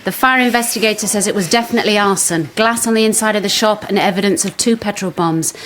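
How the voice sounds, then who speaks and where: An actress playing a senior woman detective who leads her team briskly, with a dry sense of humour. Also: mid-range voice, a bit bright, natural voice, low-quality recording. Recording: radio drama with background noise.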